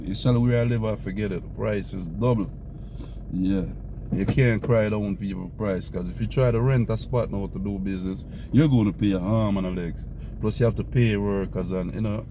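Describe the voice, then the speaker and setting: A man talking casually to his viewers while driving, rambling and repeating himself. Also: on the low side. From Jamaica. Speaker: vlogger. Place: car in the city. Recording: noisy car recording with traffic.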